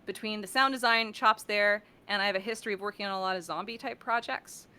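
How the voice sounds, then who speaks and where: A woman's voice: medium pitch, quick pace, sharp tone. A woman chatting casually as an interview guest, playful and rambling as she talks about her work. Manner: playful, rambling, uses silly coinages. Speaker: guest, voice actor and audio director.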